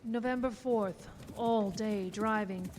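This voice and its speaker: An actress playing a determined young woman, giving measured diary entries in a live radio horror drama. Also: mid-range voice, a little mellow, natural voice. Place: small theater stage. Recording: noisy live stage broadcast with hoofbeats.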